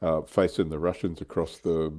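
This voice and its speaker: Middle aged guy w/ a low mellow voice, cohosting a hobby stream, jokey banter. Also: little pitch movement, moderate pace. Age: middle-aged or older. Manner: tongue-in-cheek, bantering. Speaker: livestream co-host. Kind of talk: casual panel chat about tabletop wargaming.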